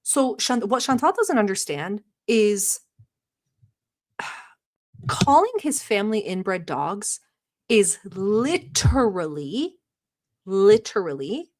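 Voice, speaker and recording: A woman from Turkey who hosts a livestream, chatting casually and rambling with many filler words, sometimes bossy and sarcastic toward her chat. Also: medium pitch. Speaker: livestream reaction host.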